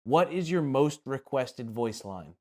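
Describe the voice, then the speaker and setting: A man's voice: mid-range voice, lively intonation, unhurried. A man hosting a casual interview, putting questions to his guest in an informal, conversational way. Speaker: podcast host asking questions.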